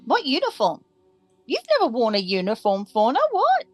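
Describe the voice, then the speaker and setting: A woman's voice: on the high side, huge pitch swings, moderate pace, a little mellow. A woman playing a tabletop role-playing game, chatting and bantering casually. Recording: music behind the voice.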